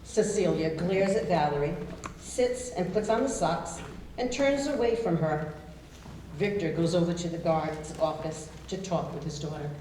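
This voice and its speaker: Female stage-directions narrator, lowish mellow voice, scripted delivery, very roomy distant recording. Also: unhurried. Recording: very echoey room, distant, faint noise.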